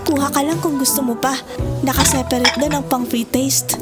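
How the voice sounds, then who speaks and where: A woman's voice: sits high, fairly even intonation, moderate pace, lightly acted. A woman playing a bubbly, teasing young café co-worker with a Filipino accent in an audio drama. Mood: chirpy, generous. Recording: music behind the voice.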